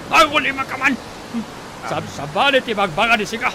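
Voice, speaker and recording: Angry bossy señor in a radio drama, high voice, curses and demands answers, noisy audio. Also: male voice, sing-song, brisk. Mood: angry, scornful. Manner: scornful, cursing, interrogating. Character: angry, domineering male boss hunting his child's assailant. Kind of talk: Philippine radio drama acting.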